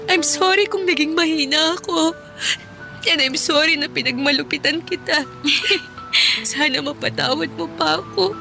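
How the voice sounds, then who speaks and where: An actress playing a remorseful, tender young elder sister in an earnest, emotional Tagalog radio drama. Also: female voice, sits high, moderate pace, vocal fry, music in the background. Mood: tearful remorse.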